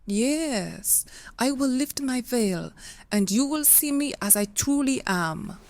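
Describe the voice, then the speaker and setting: A woman's voice: sits high, expressive, slow, darkish tone, heavily acted. A woman playing a seductive, ageless fae siren in an audio play, speaking in a languid, incantatory manner. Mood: solemn, ritual.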